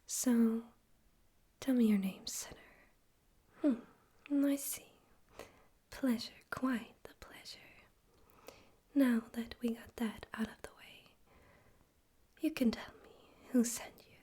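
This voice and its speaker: Smug radio demon overlord played by a woman, ASMR roleplay. Mellow breathy whisper, higher-pitched, teasing and condescending. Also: fairly even intonation. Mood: taunting, curious. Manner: slow, teasing, theatrical, condescending. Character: smug male radio demon overlord, politely menacing and amused.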